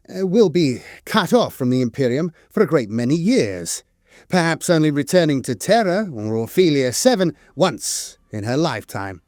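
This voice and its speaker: A man's voice: on the high side, darkish tone, no room sound, studio quality. A man narrating scripted lore as if reading aloud, often stumbling into an uh mid-phrase. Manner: hesitant reading, frequent uhs mid-phrase.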